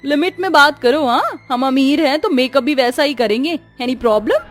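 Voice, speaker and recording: A woman's voice: sits high, normal speed, close to an everyday voice. A woman voicing haughty young rich daughters-in-law in a cartoon, snobbish and sassy. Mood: indignant, boastful. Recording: music under the voice.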